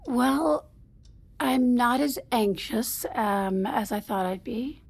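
Guarded wife in therapy, husky, creaky, hesitant, deflecting, understated audio drama. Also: female voice, on the high side, takes it slowly, full character voice. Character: guarded, evasive married woman in therapy, curt when pushed. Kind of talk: naturalistic audio drama acting.

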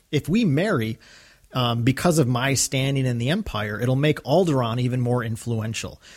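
Man, podcast co-host, medium pitch, casual chat, halting, enthusiastic. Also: a little mellow.

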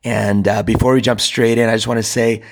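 A man who hosts a hockey coaching show, talking with a hoarse, raspy voice worn out from coaching. He gives casual advice and rambles, with many fillers and restarts. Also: lowish voice, little pitch movement, fairly quick, a little hiss or hum. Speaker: hockey coach and podcast host.

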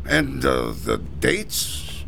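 A folksy sweaty gravestone carver, gravelly. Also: male voice, medium pitch, expressive, drawn-out words, pushed and bright, slight background noise, exaggerated voice. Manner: folksy, chatty, sighing about the heat. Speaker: stonecutter. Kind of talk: classic radio drama acting.

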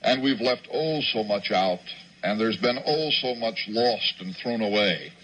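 A man's voice: fairly low pitch, lively intonation, a little slow, fairly bright tone, slight background noise, low-quality recording. A man delivering scripted narration as a television news correspondent.

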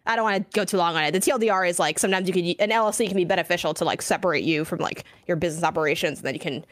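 A young woman co-hosting a casual gaming show, a little rough from a coming cold, rambling and self-deprecating. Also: fairly low pitch, rapid-fire, no echo. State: getting sick, voice a bit rough. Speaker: podcast co-host. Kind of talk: casual chat.

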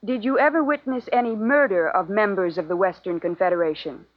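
Actress as earnest young woman, yearning, medium pitch, 1950s radio drama style, muffled old broadcast. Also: fairly quick, close to an everyday voice. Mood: brisk, formal. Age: young adult. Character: earnest, yearning young daughter, secretly in love with captive.

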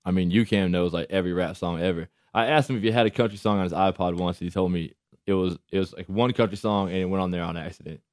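Deep-voiced man in laid-back chat. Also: fast talker, a little mellow, no echo, studio quality. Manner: laid-back, laughs often. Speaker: pro football player guest. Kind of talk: casual chat.

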